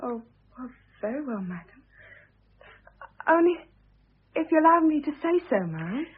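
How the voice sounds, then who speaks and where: A thin, tinny radio recording carries a fretful, deferential maid. Her high voice leaps about dramatically, tender-hearted and servile in old radio-play style. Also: big pitch swings, moderate pace. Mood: hesitant, deferential. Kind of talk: vintage British radio drama acting.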